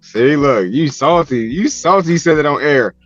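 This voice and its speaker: Casting: late-30s man, playful teaser, somewhat high voice, muffled call audio. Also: lively intonation, moderate pace, a bit bright, dry recording, faint music behind. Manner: teasing, playful ribbing. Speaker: podcast guest. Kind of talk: casual banter. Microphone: video call. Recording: dull video call audio.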